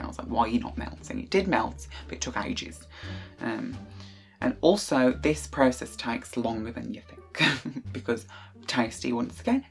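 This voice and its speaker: A man's voice: medium pitch, gravelly. Chatty young British man vlogging from his bedroom. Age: young adult. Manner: rambling and chatty, with frequent ums and self-corrections. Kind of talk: casual chatty cooking vlog. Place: small bedroom indoors. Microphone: desk webcam at close range.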